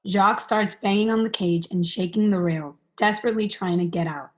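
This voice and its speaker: A woman's voice: mid-range voice, a little fast. A young woman reading stage directions as the narrator of a scripted radio play. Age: young adult.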